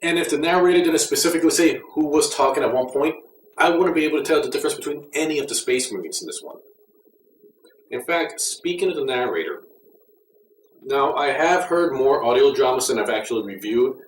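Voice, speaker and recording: A man's voice: medium pitch, animated, a little fast. Guy doing a casual review, kinda critical, echoey room, sounds a bit far from the mic. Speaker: audio drama reviewer. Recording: roomy echo, distant mic, faint noise.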